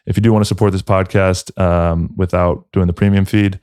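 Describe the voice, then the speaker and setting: A man's voice: low voice, flat delivery, brisk, a little mellow, clear, resonant tone, no room sound, studio quality. A man hosting a podcast, talking casually in an earnest, affectionate and laid-back way. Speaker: podcast host. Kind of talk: casual podcast chat and announcements.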